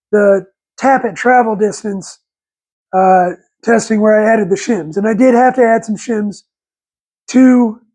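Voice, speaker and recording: A raspy man in his forties with a head cold, rambling folksy and correcting himself while talking through engine work in a garage on a lapel mic. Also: sits high, a little mellow, rough recording. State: congested with a cold. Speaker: channel host. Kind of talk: casual workshop vlog. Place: home garage workshop, indoors.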